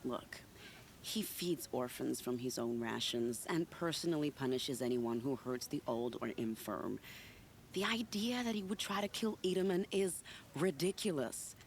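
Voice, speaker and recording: Creaky-voiced, teasing, charming spy. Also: female voice, very deep voice, expressive, slight background noise, exaggerated voice. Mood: sly, confiding. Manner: teasing, silky, unhurried. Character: sly, charming female spy, playful and secretive. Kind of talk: video game cutscene acting.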